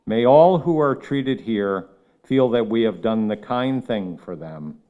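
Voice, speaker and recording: A man leading a solemn opening reflection at a ceremony, speaking in a measured, reverent way that falls at the end of each phrase. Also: lowish voice, expressive, smooth, narrow, tinny audio. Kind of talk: solemn spoken prayer. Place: ceremony at a medical center, crowd gathered.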